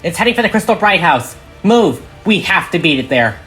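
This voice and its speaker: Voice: medium pitch, big pitch swings, a little fast, fairly bright tone, soft background music, voice pushed up. A narrator voicing a brave young female pony leader who rallies others with commanding urgency in a cartoon audio drama. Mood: urgent, shouting. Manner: commanding, rallying.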